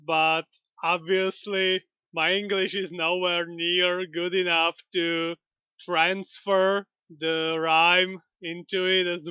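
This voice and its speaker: Flat, slow-talking high-voiced man. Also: clean tone, no room sound. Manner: slow, even, unhurried retelling. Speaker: channel host. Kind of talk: retelling a comic story. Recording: dull lo-fi dry recording.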